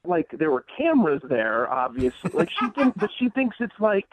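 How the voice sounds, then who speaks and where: A man calling in to a radio show, talking casually in a halting way. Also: sits high, sing-song, background noise. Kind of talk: casual conversation. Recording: slightly dull phone-in audio.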